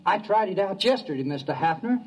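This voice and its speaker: A man's voice: sits high, lively intonation, a little fast, noisy background. A man playing a folksy, eager tinkering machinist with an American accent in a 1950s radio drama. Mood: proud, eager. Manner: folksy, colloquial. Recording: dull, band-limited old radio recording.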